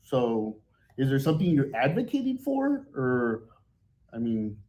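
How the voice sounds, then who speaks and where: Measured police chief with a mellow voice who stammers while questioning. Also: male voice, medium pitch, huge pitch swings, rough recording. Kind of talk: calm questioning.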